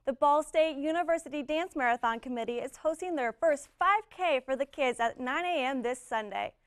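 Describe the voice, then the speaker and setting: A young woman, a student news anchor and reporter, reading scripted news in a crisp, even newscaster delivery. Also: sits high, normal speed, no echo, clean studio sound. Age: young adult.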